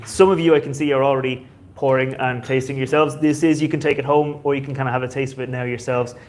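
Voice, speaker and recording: A man's voice: medium pitch, steady tone, fast talker, darkish tone. A man hosting a whiskey society tasting night, chatty and loose, with many fillers.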